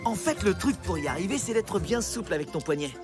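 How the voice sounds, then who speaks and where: A woman voicing a cocky, smooth-talking older boy in a children's cartoon, with a teasing, slangy manner. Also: on the low side, animated, fast talker, close to an everyday voice. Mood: friendly, cool. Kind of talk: animated children's cartoon dialogue. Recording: loud music behind the voice.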